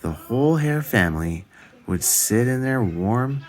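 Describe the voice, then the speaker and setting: A man reading a children's story aloud in a deliberate, expressive way. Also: medium pitch. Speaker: storybook reader.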